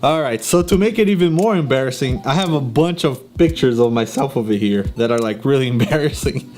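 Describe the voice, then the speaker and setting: A man's voice: mid-range voice, expressive, a little fast, a little mellow, soft background music. A young man from Brazil with a Brazilian Portuguese accent, talking casually to camera, laughing often and filling gaps with ums. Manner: chatty, laughs often, many fillers and restarts. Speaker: YouTuber.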